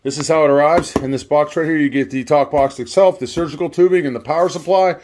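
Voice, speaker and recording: A man's voice: on the high side, steady tone, quick pace, a little mellow. A man giving a casual, plain-spoken product walkthrough as a reviewer, offhand in manner, recorded on a handheld camera in a home basement music room.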